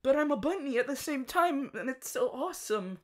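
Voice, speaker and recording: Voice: high voice, lively intonation, brisk. A performer playing a playful, anxious young male rabbit hero boyfriend in an ASMR audio roleplay, with a hesitant, giggly manner.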